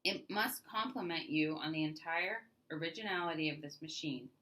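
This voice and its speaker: A woman reading a storybook voices a solemn male judge with a measured, declamatory manner. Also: low-pitched, bright, forceful tone, low-quality recording.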